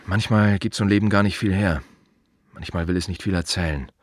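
An actor playing a wry, brooding gravedigger in a radio drama monologue, speaking in clipped fragments with pointed repetitions. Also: male voice, deep voice, brisk, no echo, studio quality. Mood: reflective. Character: wry, brooding male gravedigger musing on the dead.

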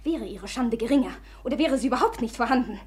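A woman acts out a young noblewoman in a classic radio play, her voice high and proud. She speaks formally and commandingly, with agitated accusation under the polish. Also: expressive, fast, a little mellow, close to an everyday voice. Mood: accusing, bitter. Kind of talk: classic German radio drama acting.

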